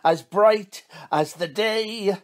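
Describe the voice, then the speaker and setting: A woman's voice: mid-range voice, extremely slow. A woman playing a lovestruck, dithering male ship's captain in a radio pantomime, with a dreamy delivery.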